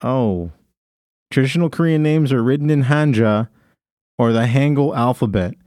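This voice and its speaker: A man's voice: mid-range voice, normal speed, mellow, dry recording, studio quality. A man hosting a podcast, reading from his phone in a casual, crude way with frequent laughter. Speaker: podcast host. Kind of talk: casual comedic chat.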